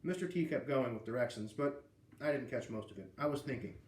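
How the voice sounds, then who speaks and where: A man's voice: mid-range voice, lively intonation, brisk, echoey room. A man with an American accent reading a children's book aloud, with casual asides. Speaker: read-aloud reader.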